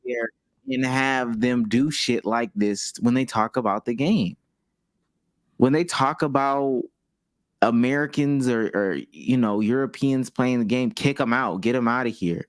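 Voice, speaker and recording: A man's voice: mid-range voice, a little slow, no echo, studio quality. A man co-hosting a podcast, talking sports in casual group banter with a drawling, loose delivery. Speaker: podcast co-host.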